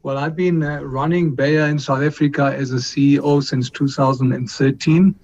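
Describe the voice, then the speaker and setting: A middle-aged man from Colombia, a company chief executive answering interview questions in a measured way, with frequent fillers and restarts. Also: fairly even intonation, a little mellow. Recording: muffled line with faint rumble.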